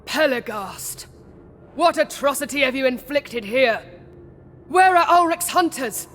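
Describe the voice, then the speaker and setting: Fantasy game commander, resolute, righteous, slow solemn delivery, long reverb. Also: female voice, sits high, animated, fairly bright tone, a little hiss or hum. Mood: angry. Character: righteous, defiant female holy warrior commander. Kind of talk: video game cutscene acting.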